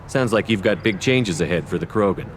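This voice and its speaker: A human military commander from a video game, played by a man. He has a low voice and a calm, direct, matter-of-fact way of speaking, with faint noise in the background. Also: fairly quick, natural voice. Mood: calm, curious.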